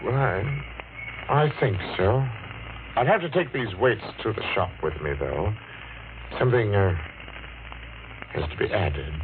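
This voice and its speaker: Dull old radio recording, vengeful middle-aged repairman, low pitch, brooding, deliberate, theatrical delivery. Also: lively intonation, noisy background. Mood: hesitant, polite. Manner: deliberate, dramatic, halting when speaking to others. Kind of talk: 1940s radio mystery acting, first-person narration.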